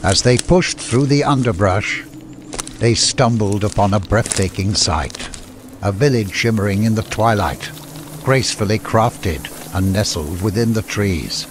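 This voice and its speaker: A man's voice: on the low side, unhurried, noisy background. A man reading a scripted fantasy story as an audiobook narrator. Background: music.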